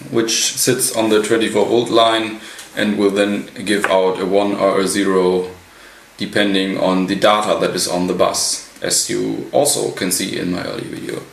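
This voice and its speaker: A man giving an unscripted technical walkthrough as a hobbyist presenter, halting and pausing mid-sentence. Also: lowish voice, level tone, vocal fry. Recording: roomy echo, light background noise.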